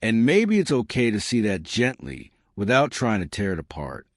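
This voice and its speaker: A man guiding a meditation, speaking softly and gently in short phrases with pauses. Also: on the low side, wildly swooping pitch, dry recording, studio quality. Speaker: meditation guide. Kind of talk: guided meditation narration.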